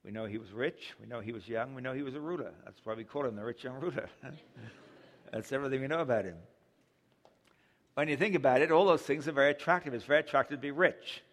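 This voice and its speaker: A man's voice: medium pitch, fast. Older man, preacher, humorous sermon, reverberant hall. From England. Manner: humorous storytelling, chuckles at own jokes.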